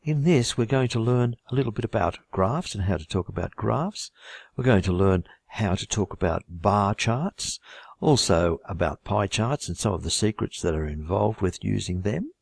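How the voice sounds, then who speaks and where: Husky low-voiced Australian man teaching. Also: expressive, normal speed, a little mellow, dry recording, studio quality. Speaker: IELTS writing teacher. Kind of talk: course introduction to camera.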